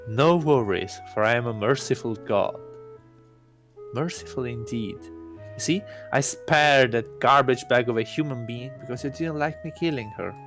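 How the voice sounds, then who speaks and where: Smug deity in student disguise, male reader: pompous, theatrical, awkward visual-novel hero, medium pitch. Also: a little slow, a bit bright, soft background music. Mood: awkward, boastful. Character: smug self-proclaimed god posing as awkward teenage student.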